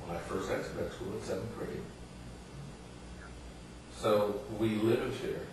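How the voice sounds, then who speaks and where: A very echoey, distant recording of a man with a low voice. He speaks in a halting, deliberate way with formal phrasing, as if thinking through his comments in a discussion, with clear noise around him. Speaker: audience member at a book reading. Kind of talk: reflective discussion at a book talk. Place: indoor literary salon, far from mic.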